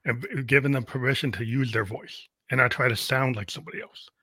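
A man's voice: mid-range voice, fairly even intonation, fast talker, bright, forceful tone, slightly rough, dry recording. A man hosting an interview as a music producer, talking in an earnest, reflective way. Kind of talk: casual interview chat.

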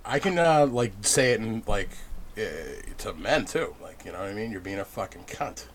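Blunt, low-voiced man podcasting. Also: animated, normal speed, a little hiss or hum. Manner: blunt, profane. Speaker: podcast co-host. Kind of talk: casual podcast chat.

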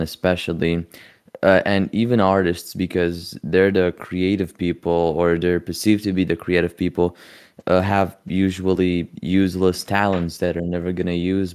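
Deep-voiced guy hosting a podcast, smooth and clear, chill and chatty with slang. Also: a little mellow. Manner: laid-back, chatty, slangy. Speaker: podcast host. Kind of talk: casual podcast interview.

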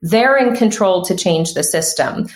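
A woman's voice: on the low side, a little slow. Podcast guest, woman, 30s, punchy conversational delivery, echoey room. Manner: emphatic, animated, frequent restarts. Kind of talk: casual conversational interview.

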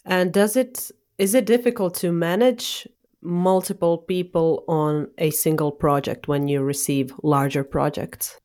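A woman's voice: on the low side, steady tone, unhurried. A woman hosting an interview podcast, encouraging her guest and asking questions. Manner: encouraging, asks questions warmly. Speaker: podcast host. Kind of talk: casual interview.

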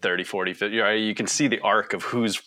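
A man in his forties co-hosts a casual podcast chat. His voice sits a little low but sounds bright and clear. He is easygoing and pokes fun at himself. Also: fairly even intonation, quick pace. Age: 40s. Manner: self-deprecating, easygoing. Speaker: podcast co-host.

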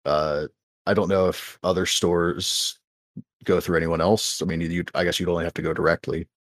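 Deep-voiced American man, casual ramble. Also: flat delivery, moderate pace, a bit bright, dry recording, clean studio sound. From United States. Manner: rambling, many fillers and restarts. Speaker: podcast co-host. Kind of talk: casual chat.

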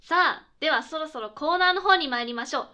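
A woman playing a cheerful radio host in a scripted comedy, chirpy and polite with quick retorts. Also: high voice, pushed and bright. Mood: bright, presenting. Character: cheerful female radio host, playful straight man to sidekick. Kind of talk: scripted comedic late-night radio chat.

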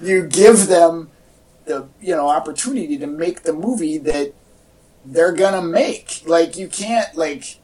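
A man talking casually as a podcast co-host, in a halting, conversational way. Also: a little high, a little mellow. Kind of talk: casual chat about movie news. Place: indoor room with echo. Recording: echoey room, distant from mic.

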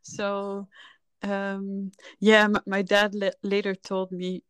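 A woman's voice: mid-range voice, completely flat delivery, slow, clear, resonant tone, no room sound. A woman in her thirties from the Netherlands, a filmmaker guest telling her story candidly and reflectively in a casual podcast chat.

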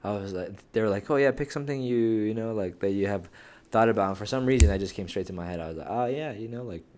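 A man's voice: lowish voice, quick pace, darkish tone. A young man co-hosting a podcast, chatting casually and rambling with lots of slang like "bro" and "dog". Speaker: podcast co-host. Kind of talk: casual chat.

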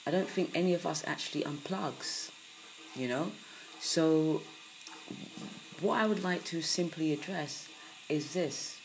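A woman with a croaky early-morning voice giving a soft, unhurried spiritual podcast talk, with many pauses and fillers. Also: deep voice, a little hiss or hum, poor audio.

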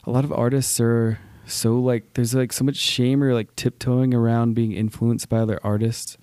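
A man's voice: mid-range voice, level tone, moderate pace, warm tone, dry recording, clean studio sound. A man in his thirties, a painter, talking earnestly in a casual chat with many fillers. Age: 30s. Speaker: painter guest.

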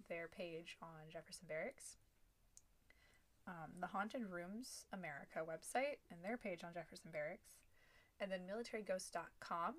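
A young adult woman co-hosting a casual podcast, recounting history from her notes with small restarts and asides. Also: lowish voice. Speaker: podcast co-host. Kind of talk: casual chat, then telling a researched history.

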